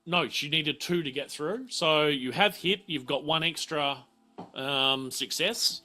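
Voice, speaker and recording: A man refereeing a casual tabletop game, halting and often repeating himself. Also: on the high side, pushed and bright. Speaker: game master.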